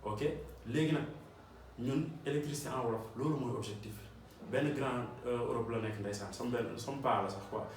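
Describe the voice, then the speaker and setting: A Senegalese-accented man speaking earnestly as an electrician encouraging his followers. He sits back from the microphone in a very echoey room, and faint ambient noise hums underneath. His pitch sits in an ordinary middle range. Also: fairly quick. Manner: earnest, repeats points for emphasis. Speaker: electrician and channel host. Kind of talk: motivational talk to camera. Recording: roomy echo, distant mic, faint ambience.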